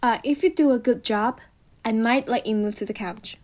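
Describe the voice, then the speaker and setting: A woman's voice: fairly high pitch, normal speed, darkish tone, natural voice. A woman voicing a needy, bossy, playful wolf girlfriend in an intimate roleplay audio, pouty and teasing as she speaks straight to the listener. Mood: smug, cuddly. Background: computer keyboard typing.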